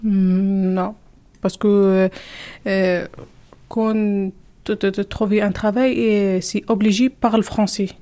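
A young woman from Algeria, still learning the language, answers questions at a very slow pace. She speaks in short, simple phrases and pauses often to search for words. Her voice is mellow and soft-edged. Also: mid-range voice, steady tone. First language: not French. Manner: halting, simple French, many hesitations. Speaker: interviewee, French learner. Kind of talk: hesitant interview answers.

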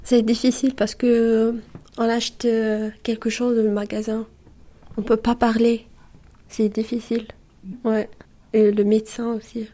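A young woman from Algeria, not a native speaker, gives short answers in basic sentences. Her voice is a little high and stays flat in pitch, often dropping quiet. The tone is mellow. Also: measured pace. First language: not French. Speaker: interviewee, French learner.

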